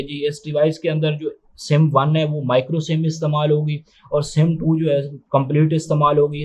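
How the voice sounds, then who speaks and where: A man's voice: on the high side, darkish tone. A man doing an unscripted gadget unboxing and review, explaining step by step in a methodical, matter-of-fact way. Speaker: tech reviewer presenting a phone unboxing. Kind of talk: unscripted step-by-step product demonstration.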